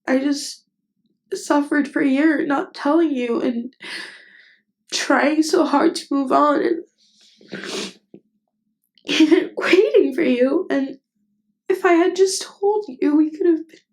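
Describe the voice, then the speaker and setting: A woman acting a tender, lovestruck best friend in an intimate ASMR roleplay, speaking in a halting, earnest, vulnerable way. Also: sits high, a little mellow. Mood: tearful, frustrated. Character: tender, lovestruck female best friend confessing her feelings. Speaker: voice actress.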